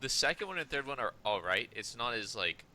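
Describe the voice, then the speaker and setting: A man's voice: mid-range voice, big pitch swings, a little fast, sharp tone, slight background noise. A man co-hosting a podcast in casual banter, blunt and opinionated. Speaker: podcast co-host.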